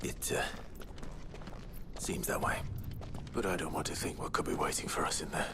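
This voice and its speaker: A man playing a brooding, weary warrior hero in a dark fantasy game, speaking tersely and earnestly. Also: extremely low pitch, moderate pace, noisy background. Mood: weary, hushed. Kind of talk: dark fantasy video game cutscene acting.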